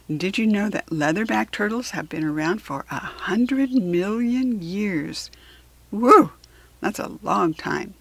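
American woman, children's book reader. Slow, instructive, chatty asides, dry close sound. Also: medium pitch, husky, dry recording. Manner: deliberate, instructive, simply explaining to a young listener.

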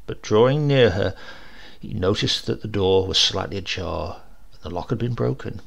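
A man reading a literary short story aloud as an audiobook narrator, in an expressive, measured storytelling manner. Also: lowish voice, gravelly.

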